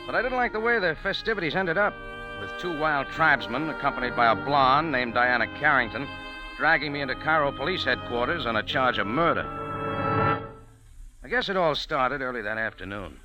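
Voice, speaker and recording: Man playing a hard-boiled cafe owner, wisecracking, lively pitch, music under old radio sound. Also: a little fast, close to an everyday voice, poor audio. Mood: narrating. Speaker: lead and narrator. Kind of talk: 1940s radio acting.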